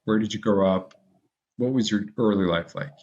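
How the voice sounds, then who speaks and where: A male podcast host with a low voice asks questions in an easygoing, encouraging manner. His audio is somewhat dull and lo-fi, lacking crisp highs. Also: normal speed, darkish tone. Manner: friendly, encouraging interviewer. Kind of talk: casual interview hosting.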